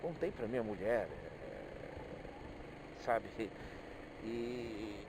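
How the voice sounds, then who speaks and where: A man's voice: on the low side, lively intonation, drawn-out words, gravelly, background noise. A middle-aged man with a Brazilian accent, thinking aloud in a casual, hesitant monologue where he often repeats words. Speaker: motorcyclist vlogger. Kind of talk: casual rambling monologue, personal reflections.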